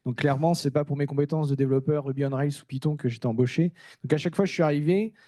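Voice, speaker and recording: Soft-toned man, candid and halting. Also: on the high side, fairly even intonation, no room sound. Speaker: staff engineer speaking on a panel. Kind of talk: informal first-person conference talk.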